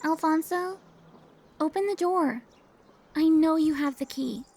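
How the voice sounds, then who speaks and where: A woman's voice: high voice, noisy background. A woman playing a teasing, pouty, centuries-old vampire who looks twenty, in a cinematic audio roleplay. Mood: playful, teasing. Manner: playful, pouty, drawn-out teasing.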